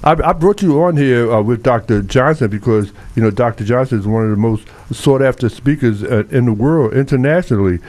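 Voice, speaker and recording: A man's voice: mid-range voice, brisk, hardly any pauses. A man hosting a live call-in radio talk show, chatty and conversational, often restarting his words. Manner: conversational, repeats and restarts words, animated asides.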